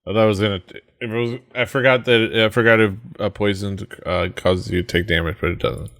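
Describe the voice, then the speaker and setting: A man running a tabletop game as game master, pausing often to look up rules. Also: lowish voice, steady tone, fairly bright tone. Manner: hesitant, lots of ums while looking up rules.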